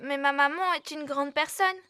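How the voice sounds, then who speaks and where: A woman's voice: high-pitched, fairly even intonation, moderate pace, pushed and bright, dry recording, studio quality. A woman playing an earnest, polite little girl lamb in a French cartoon dub. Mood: secretive, earnest.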